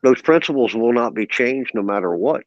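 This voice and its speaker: A man's voice: mid-range voice, lively intonation, moderate pace, no echo, lo-fi sound. A man in his fifties, calling into a livestream and ranting about politics in a preachy, emphatic way. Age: 50s. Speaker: caller on a livestream. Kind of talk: casual political rant.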